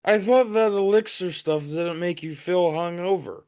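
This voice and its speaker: A man's voice: high-pitched, normal speed, darkish tone, clear, resonant tone. A man playing a woozy, drunk and anxious newspaperman in an audio play, stammering and muddled. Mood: queasy, anxious.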